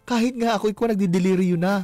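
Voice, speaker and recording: A man's voice: high voice, steady tone, quick pace, warm tone. A Filipino man hosting a radio call-in show, giving emphatic, blunt, scolding advice in casual talk. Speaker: radio host. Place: radio studio.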